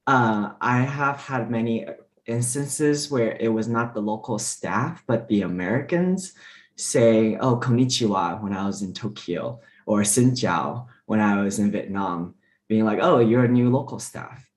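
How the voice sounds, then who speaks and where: A man whose first language is Mandarin, speaking as a diplomat on a panel discussion, relaxed and full of fillers. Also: lowish voice, a little slow. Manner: relaxed, many fillers and restarts.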